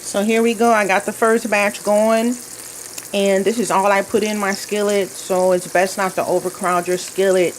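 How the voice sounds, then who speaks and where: Middle-aged woman from Minnesota, folksy home-cook vlogger chatting to viewers in her kitchen, mid pitch. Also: flat delivery, moderate pace, a little hiss or hum, low-quality recording. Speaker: cooking vlogger. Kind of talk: casual cooking demonstration.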